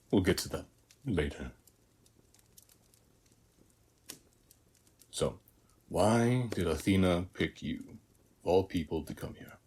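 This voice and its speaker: An actor playing a calm, hospitable warrior who guards a seized temple, speaking in an unhurried, measured way in an audio roleplay. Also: male voice, low voice, breathy edge, exaggerated voice. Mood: calm, knowing. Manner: unhurried, measured, with long pauses between short phrases. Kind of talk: scripted audio roleplay, speaking softly to the listener.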